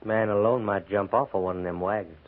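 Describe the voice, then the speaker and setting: Sly frontier prisoner in uniform, acted by a man: low, drawling, insinuating, narrow vintage radio sound. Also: fairly even intonation, fairly quick, natural voice. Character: tall, sly, brooding prisoner recruit. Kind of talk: 1950s radio western acting. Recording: tinny 1950s radio broadcast.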